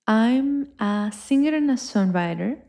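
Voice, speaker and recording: A woman's voice: on the high side, lively intonation, measured pace. A young adult woman from Colombia with a Colombian Spanish accent, hosting a podcast in a reflective, upbeat personal monologue. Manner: warm, reflective, upbeat with filler words. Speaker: podcast host.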